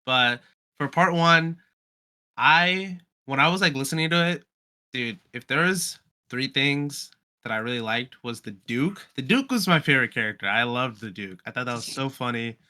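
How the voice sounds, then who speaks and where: A man's voice: mid-range voice, animated, moderate pace, bright, forceful tone. A young man chatting casually as a podcast guest, with a slangy, enthusiastic way of talking. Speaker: podcast guest, amateur voice actor. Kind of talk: casual chat.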